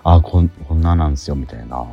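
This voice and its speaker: A man's voice: rumbling low, fast talker, gravelly, highs cut off. A middle-aged man chatting casually as a radio host, telling stories with acted-out asides. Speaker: radio show host and stage actor. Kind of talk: casual radio chat about a theatre production. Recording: background music under voice. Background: music.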